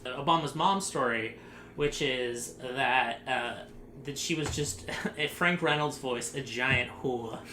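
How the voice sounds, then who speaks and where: A man chatting loosely as a podcast host, his bright, forceful voice carrying through a rambling, sarcastic riff with frequent laughs. The room rings with echo, and there's a faint noise floor under him. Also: mid-range voice, takes it slowly. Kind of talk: casual group podcast chat.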